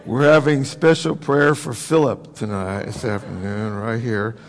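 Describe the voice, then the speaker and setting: American male pastor, creaky voice, joking and folksy, preaching conversationally in an echoing hall. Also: medium pitch, fairly even intonation, a little slow. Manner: folksy, joking, rhetorical questions to the congregation. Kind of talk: conversational sermon. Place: large room, preaching to a congregation. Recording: reverberant hall.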